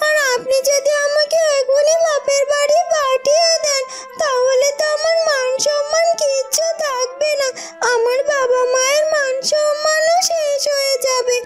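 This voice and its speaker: A woman's voice: extremely high pitch, fairly even intonation, measured pace, faint music behind. A woman voicing a naive, whiny, simple-minded young village wife in a cartoon. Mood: pleading. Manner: childlike, coy, whining. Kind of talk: Bengali cartoon voice acting.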